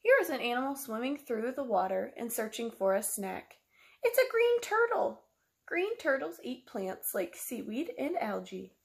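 Woman in her twenties, creaky voice, children's read-aloud, sing-song simple explaining, question-led. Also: a little high, sing-song, normal speed. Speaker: teacher reading aloud. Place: small room at home, indoors.

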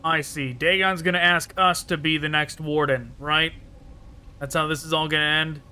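Bright, clear-voiced man, casual game commentary. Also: on the high side, steady tone, moderate pace. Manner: wry, chatty, thinking aloud. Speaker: gaming video host.

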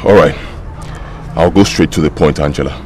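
An actor playing a protective, blunt older man, deep and soothing. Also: moderate pace, a little mellow, faint music behind. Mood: confrontational. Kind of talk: melodrama acting.

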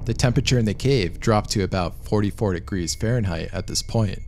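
A man reading scripted documentary narration of a true disaster story in a measured, matter-of-fact way. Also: fairly low pitch. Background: background music.

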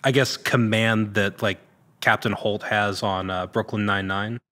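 A man in his thirties talks as a guest on a casual podcast. His voice sits slightly low with a forward, bright edge. He feels his way through sentences informally and plays himself down. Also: fairly even intonation, a little slow. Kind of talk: casual chat. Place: large indoor studio room. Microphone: boom-mounted studio mic.

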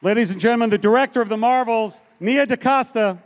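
A man's voice: very high-pitched, fast talker. A middle-aged man introducing guests as a presenter on a big convention stage, speaking into a handheld microphone. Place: large convention hall stage. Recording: live stage PA with music.

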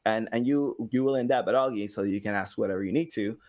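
A man in his thirties chatting casually as a podcast guest, telling stories in an animated way and acting out little quotes. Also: on the low side, fast talker. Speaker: podcast guest, game studio engagement director and sound designer. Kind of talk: casual conversational storytelling. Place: small home room with guitars.

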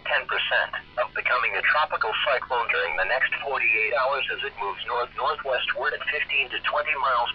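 Synthetic man, mid-pitched, weather radio announcer, stilted measured forecast reading, dull lo-fi radio audio. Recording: muffled lo-fi radio with clear noise.

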